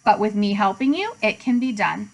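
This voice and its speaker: A woman voicing a clever, polite, aging female spider with a measured, dry manner in a children's storybook. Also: medium pitch, lively intonation, creaky, poor audio. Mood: candid.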